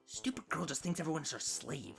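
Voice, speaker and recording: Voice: medium pitch, wildly swooping pitch, a little fast, vocal fry, husky, faint music behind, full character voice. A performer voicing an irritable, bossy female siren with a sarcastic, exasperated manner for a story reading. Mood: snapping. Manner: sardonic, snapping, exasperated.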